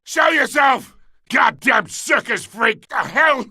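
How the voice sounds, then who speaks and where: A man's voice: very high voice, expressive, takes it slowly, no room sound, studio quality, exaggerated voice. A man voicing an arrogant, belligerent crime boss in a video game, combative and strained. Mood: furious, defiant. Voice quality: strained, gravelly. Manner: shouting, combative.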